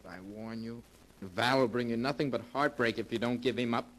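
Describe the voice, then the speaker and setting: A man's voice: medium pitch, a little fast, a bit bright, a little hiss or hum, close to an everyday voice, poor audio. An actor in an old radio play voicing an earnest, lovesick young man. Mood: warning. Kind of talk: 1940s radio acting.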